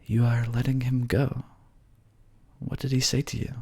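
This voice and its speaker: A man's voice: medium pitch, completely flat delivery, a little slow, creaky, a little mellow, breathy edge, pitched above the performer's own voice. Man putting on an earnest male mage. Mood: puzzled. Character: measured, magic-wielding male mage.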